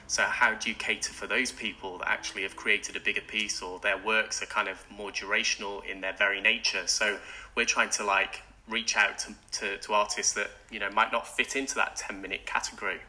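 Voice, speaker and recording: A man with a very bright, forward tone and a fairly low voice speaks as a studio guest. He explains things casually and rambles, with plenty of ums and restarts. His first language was British Sign Language. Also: level tone, a little fast, rough recording. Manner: hesitant, many ums and restarts. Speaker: event organizer, guest. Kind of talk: casual interview chat. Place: radio studio.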